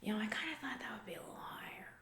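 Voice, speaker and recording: A woman playing a self-absorbed, distracted female CEO in a comedic ASMR roleplay, rambling flippantly in a breathy whisper with casual asides. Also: on the high side, lively intonation, rapid-fire, bright, forceful tone, roomy sound, heavily acted. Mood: airy, dismissive.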